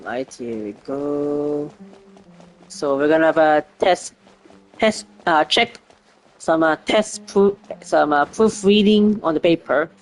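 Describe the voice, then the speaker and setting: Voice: medium pitch, takes it slowly, low-quality recording. A streamer giving casual gameplay commentary, muttering short reactions to the game.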